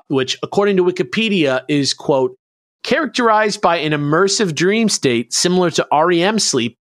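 A man's voice: a little high, fairly bright tone, dry recording, studio quality. A man hosting a podcast, explaining things in a casual, rambling, conversational way. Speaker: podcast host presenting a researched topic.

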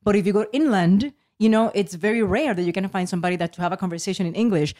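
A woman's voice: medium pitch, fast talker, a little mellow. A woman co-host from Puerto Rico with a Puerto Rican accent, a native Spanish speaker who talks expressively and switches into Spanish. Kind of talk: casual interview chat.

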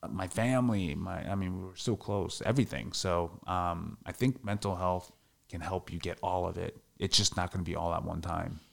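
A man's voice: low-pitched, moderate pace, gravelly. A man in his thirties talking candidly in a casual podcast interview, reflective and full of fillers. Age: 30s. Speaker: podcast guest.

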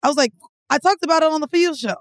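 A woman's voice: high-pitched, rapid-fire, dry recording, studio quality. A woman co-hosting a podcast in casual chat, crude and joking, often laughing.

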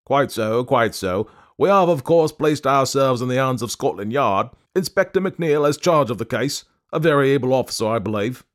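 A man voicing a grave older male bank manager who speaks formally and in a measured, stiff way. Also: medium pitch, expressive, voice pushed up, close to an everyday voice.

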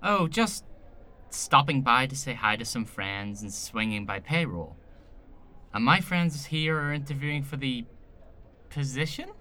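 A man's voice: medium pitch, animated, unhurried, pushed and bright. A man playing an easygoing, affable companion with a casual delivery in a sci-fi audio drama. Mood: breezy. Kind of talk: naturalistic audio drama acting.

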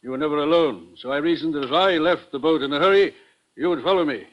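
An actor playing a fat, secretive gentleman spy contact in a 1950s radio drama, speaking in a formal, guarded way. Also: on the high side, fast, lightly acted. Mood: urgent, secretive. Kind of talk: 1950s radio adventure acting. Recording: dull, noisy vintage 1950 radio recording.

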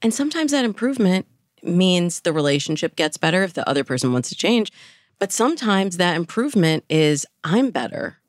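A woman with a low-pitched voice talks as a guest expert in an interview. She explains advice in a conversational way, using illustrative examples. The sound is dry and close, with no room echo. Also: very animated, fairly quick. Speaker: dating coach, interview guest.

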